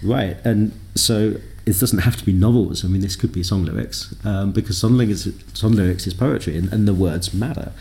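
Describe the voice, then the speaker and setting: Low gravelly man, thoughtful. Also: moderate pace, few pauses, a little mellow, slight background noise. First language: English. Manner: thoughtful, self-deprecating, lots of ums. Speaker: podcast guest, software developer. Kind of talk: conversational interview.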